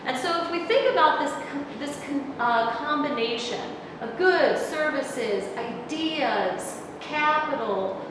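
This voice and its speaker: A woman's voice: sits high, lively intonation, slow pace, hardly any pauses. A middle-aged woman professor giving a conversational lecture, projecting her voice without a microphone. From United States. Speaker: university professor giving a talk. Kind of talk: public lecture. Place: conference room, indoors. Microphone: far camera, no microphone. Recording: echoey hall from far off, room noise.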